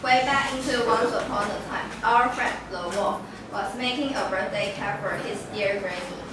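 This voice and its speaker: A woman's voice: mid-range voice, moderate pace. A woman reading scripted storybook narration in a reader's theater performance. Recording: very echoey, distant, noisy room.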